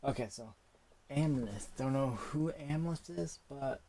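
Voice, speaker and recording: Gravelly man muttering through a game playthrough. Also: medium pitch, a little slow, a crowd in the background. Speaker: gaming video creator. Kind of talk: casual game playthrough commentary.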